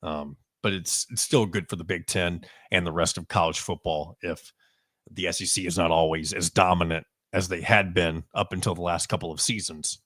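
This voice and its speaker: A man with a lowish voice talks through a sports podcast in a loose, conversational way, stopping for ums and restarting his sentences. The sound is close and dry, with no room around it. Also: fairly even intonation, normal speed, fairly bright tone, dry recording. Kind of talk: casual sports podcast talk.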